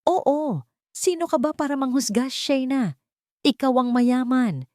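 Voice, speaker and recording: A bitter, arrogant villainess acted by a woman narrator from a melodramatic audiobook. The voice jumps higher than the reader's own and comes out sharp and defiant, in a dry recording. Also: lively intonation, a little slow, no echo. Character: bitter, arrogant female villain, scheming mistress.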